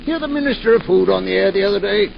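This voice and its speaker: An actor playing a chatty, pushy village grocer who doubles as air raid warden, in a 1940s radio drama. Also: male voice, high-pitched, fast talker, gravelly. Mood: pushy salesman. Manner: chatty, salesman patter. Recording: dull 1940s radio broadcast, noisy.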